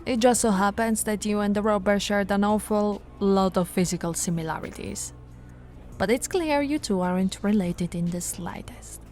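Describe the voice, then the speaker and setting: A woman playing a patient, teasing policewoman in an intimate ASMR roleplay, talking in a soft, coaxing, conversational way. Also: medium pitch, close to an everyday voice. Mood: upbeat, explaining. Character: flirty, patient, teasing policewoman reassuring a suspect.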